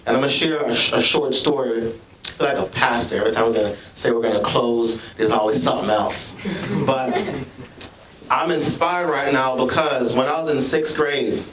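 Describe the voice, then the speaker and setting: A man's voice: medium pitch, a bit bright. Jamaican-accented man addressing students. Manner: earnest, measured, with repeated restarts. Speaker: school administrator. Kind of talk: ceremony speech. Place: school library, large room. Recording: echoey room, from far off.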